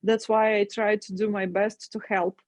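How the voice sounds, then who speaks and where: A woman's voice: mid-range voice, little pitch movement, quick pace, no echo. A Ukrainian woman whose first language is Russian, answering interview questions earnestly and sometimes searching for words in English. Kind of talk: remote interview.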